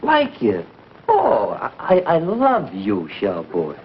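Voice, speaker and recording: An actor playing a needling, sarcastic, whiny brother-in-law in a theatrical 1950s broadcast comedy style. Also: male voice, a little high, wildly swooping pitch, slow, heavily acted. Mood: gushing, oily. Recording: muffled, noisy 1950s broadcast recording.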